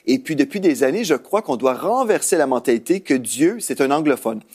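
A man's voice: mid-range voice, expressive, fairly quick, a little mellow. A man with a Canadian French accent, a pastor and host teaching in a conversational televised sermon style.

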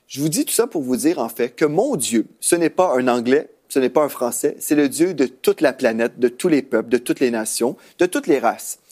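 A man's voice: mid-range voice, expressive, normal speed, few pauses, darkish tone. A man with a Canadian French accent, a pastor and host teaching in a conversational televised sermon style.

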